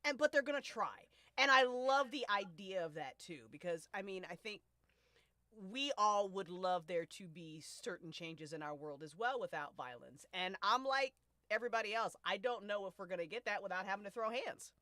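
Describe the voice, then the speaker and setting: Female podcast creator, slightly low but bright, close dry sound, informal and emphatic. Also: animated, moderate pace, dry recording. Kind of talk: casual panel chat.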